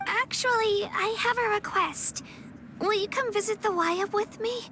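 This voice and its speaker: A woman's voice: very high voice, a little slow, bright, forceful tone, exaggerated voice. A woman voicing an earnest young girl warrior in a video game, timid yet determined. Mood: earnest, worried. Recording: clear background noise.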